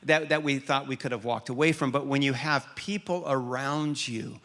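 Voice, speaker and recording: A man's voice: medium pitch, moderate pace. A man who grew up in Liberia as an American, preaching a storytelling sermon with frequent restarts. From grew up in Liberia, West Africa, as an American. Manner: storytelling, animated, with repeated restarts. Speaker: pastor.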